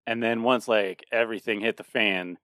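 A man's voice: lowish voice, fairly even intonation, normal speed, sharp tone, smooth, dry recording. A man in his late thirties co-hosting a podcast in a casual chat, rambling with frequent restarts and laughter. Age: 30s. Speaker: podcast co-host.

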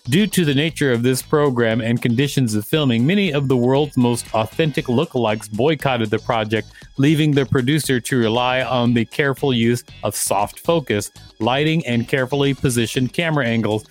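A man's voice: medium pitch, moderate pace, few pauses, soft background music. A man co-hosting a podcast, reading articles aloud and breaking into casual banter. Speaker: podcast co-host.

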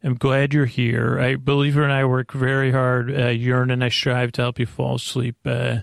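A man hosting a bedtime podcast in a creaky, lulling voice, meandering and halting as he drifts off topic and loses his thread. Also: mid-range voice, completely flat delivery, dry recording, clean studio sound. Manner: lulling, soothing delivery. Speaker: host of a bedtime sleep podcast. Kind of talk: rambling, off-topic bedtime monologue.

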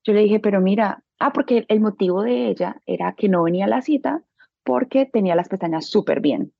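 A woman's voice: mid-range voice, a little fast, gravelly, breathy edge, no room sound, muffled recording. A woman with a Colombian accent telling personal stories casually and colloquially, expressively acting out what people said. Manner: colloquial, expressive, acts out quoted dialogue. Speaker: interview guest, beauty business owner.

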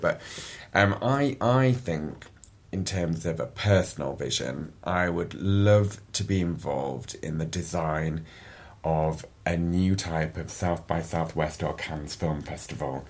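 Middle-aged Yorkshire man, low and slightly husky, chatty podcast guest who stumbles over repeated words. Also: slow pace. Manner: chatty, repeats words when starting sentences. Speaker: guest, events agency director. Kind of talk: casual interview chat.

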